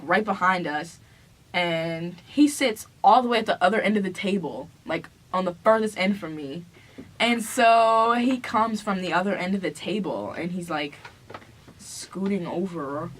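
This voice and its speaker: A woman's voice: medium pitch, a bit bright. Playful young woman telling a story casually. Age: young adult. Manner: playful, teasing, dramatic retelling. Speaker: vlogger telling a couple story.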